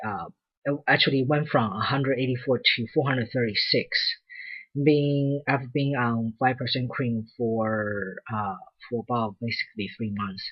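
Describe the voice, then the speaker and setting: A man giving a casual personal video update, talking hesitantly with many pauses, ums and restarts. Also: mid-range voice, breathy edge, lo-fi sound. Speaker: vlogger.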